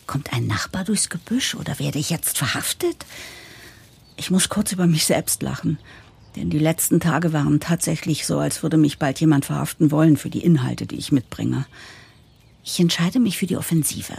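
An actress playing a wry, hopeful newcomer, confiding in the listener in a scripted first-person radio play narration with dramatic pauses and whispery asides. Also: female voice, low voice, creaky, a little mellow, breathy edge, exaggerated voice. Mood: confiding, suspenseful.

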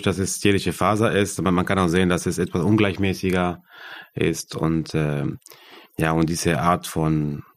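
A man, a guest talking about founding his yarn business, halting as he searches for German words. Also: low-pitched, creaky. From Argentina. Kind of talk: casual interview.

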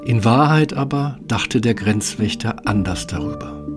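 A man's voice: mid-range voice, measured pace. A man narrating a scripted fairy tale as the storyteller of an audio play. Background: instrumental music.

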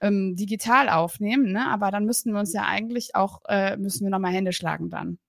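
A woman's voice: medium pitch, brisk, gravelly, dry recording, clean studio sound. A woman hosting a podcast interview remotely, upbeat and chatty with many fillers. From Berlin, Germany. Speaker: podcast host. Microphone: remote recording, separate room.

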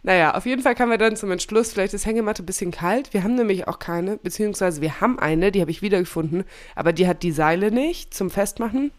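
A woman's voice: mid-range voice, fast talker, hardly any pauses. A woman in her twenties hosting a casual podcast chat, speaking with a cold and laughing often as she corrects herself. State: has a cold. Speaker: podcast host.

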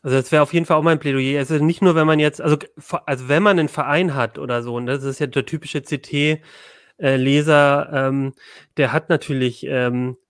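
A southern German man hosting a casual tech podcast, his voice sitting a little high and recorded close and dry in a studio. He talks loosely, with many fillers, restarts and pauses. He moderates the round without hurry. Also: fairly even intonation, clean tone, no room sound. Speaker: podcast host.

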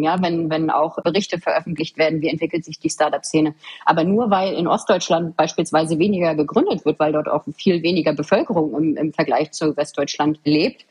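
A woman from eastern Germany with a husky voice, answering interview questions in an earnest, articulate and emphatic way. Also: lowish voice, a little mellow. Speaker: podcast guest, government official. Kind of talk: interview answers, explanatory conversation.

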